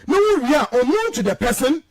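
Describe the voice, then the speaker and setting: High-pitched, emphatic Ghanaian man. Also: big pitch swings, fairly quick. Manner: emphatic, rhetorical, repeats phrases for stress. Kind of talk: heated political commentary, mixing Twi and English.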